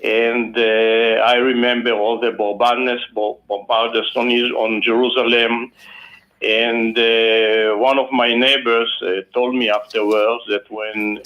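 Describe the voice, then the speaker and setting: A man in his seventies with an Israeli accent, an author speaking haltingly as a guest on a phone interview, with many fillers and restarts. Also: mid-range voice, level tone. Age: 70s. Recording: phone line.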